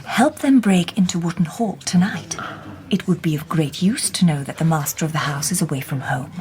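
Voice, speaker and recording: An actress playing a poised, shrewd Victorian lady detective in a radio drama, speaking softly and breathily, half in a whisper. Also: sits low, moderate pace, close to an everyday voice. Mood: measured, knowing.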